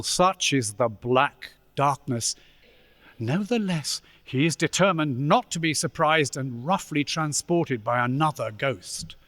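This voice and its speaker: A man narrating a radio play as dramatic, deliberate storytelling with suspenseful pauses. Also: a little high, no room sound, studio quality. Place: live stage performance in a church.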